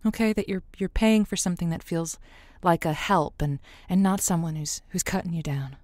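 An actress playing a kind, encouraging woman who hosts an advice call-in show, with a gentle, folksy, reassuring manner. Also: lowish voice, normal speed, no room sound, natural voice. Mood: concerned. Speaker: radio advice host. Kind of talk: audio drama as call-in show.

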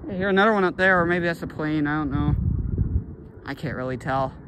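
A man's voice: fairly high pitch, brisk, background noise. A young man vlogging while magnet fishing from a lake dock, exclaiming over each find in casual commentary. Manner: excitable, exclaims over finds. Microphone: handheld phone or body camera.

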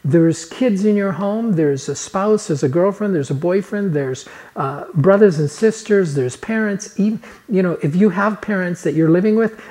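A man's voice: high-pitched, darkish tone. A man in his fifties, born in Chile, telling a personal story in an earnest motivational talk to camera, recorded on a lapel mic in a living room. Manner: earnest storytelling, gestures with hands. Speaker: personal coach. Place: living room, on a couch indoors.

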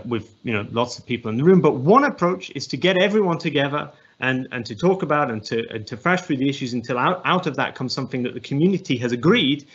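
A man in his thirties speaks with an English accent, presenting to a webinar audience over a video call. His voice is husky and mid-pitched, and he often restarts and fills pauses as he works through his points. The audio is slightly dull. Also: fairly bright tone. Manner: thoughtful, many restarts and fillers. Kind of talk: academic presentation.

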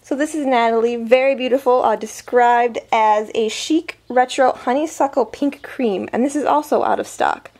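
A woman's voice: a little high, normal speed, hardly any pauses, a little mellow. A woman vlogger chatting casually through an unboxing review, her voice a little weak from a summer cold, full of ums and likes. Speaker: beauty vlogger.

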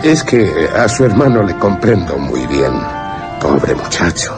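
An actor playing a seductive, scheming aristocratic vampire in a radio horror drama, suave and theatrical. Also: male voice, low voice, very animated, a little slow. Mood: suavely seductive. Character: sinister, seductive aristocratic male vampire, falsely tender schemer. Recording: prominent music under the voice.

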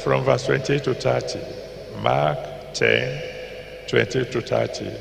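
An older man with a Nigerian accent preaching a sermon into a handheld mic in a large packed auditorium, slowly repeating scripture references and decreeing blessings. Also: mid-range voice, gravelly, fairly bright tone, slight background noise. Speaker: pastor. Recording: echoey hall with long reverb.

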